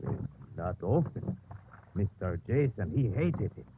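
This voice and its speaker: Halting simpleton, noisy old radio. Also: male voice, lowish voice, big pitch swings. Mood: halting, aggrieved. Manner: halting, speaks of himself in third person. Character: simple, devoted rustic English handyman. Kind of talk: 1950s radio drama acting. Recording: noisy muffled 1950s radio recording.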